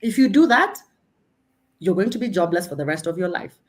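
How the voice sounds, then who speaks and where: A woman's voice: on the low side, fairly quick. A woman from Kenya with a Kenyan accent, a career coach speaking emphatically on a panel. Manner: emphatic, animated, preaching self-promotion with humor.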